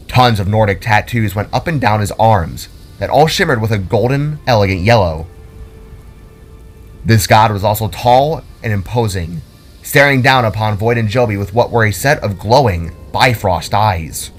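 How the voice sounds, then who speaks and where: A man reading scripted fantasy story narration in a dramatic, deliberate storytelling manner. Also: lowish voice. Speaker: author and narrator. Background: music.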